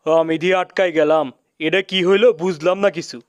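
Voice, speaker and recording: A man's voice: a little high, steady tone, moderate pace, dry recording, natural voice. A man voicing a cheeky, naive young cartoon mouse nephew with a colloquial Bangladeshi accent and a playful, teasing way of talking. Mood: eager. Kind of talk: comic cartoon dub.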